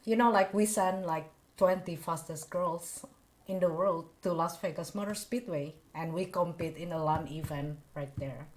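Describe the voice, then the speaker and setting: Young Indonesian woman host, lowish voice, loose and chatty with frequent laughs. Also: moderate pace, crowd noise, low-quality recording. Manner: chatty, laughing often, many fillers like "you know". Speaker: podcast host. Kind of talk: casual interview chat.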